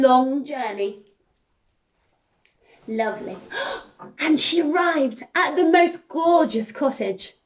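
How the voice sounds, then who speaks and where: A woman storyteller leading an interactive children's story, expressive and encouraging, speaking directly to her young listeners. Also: a little high. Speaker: children's storyteller, princess entertainer.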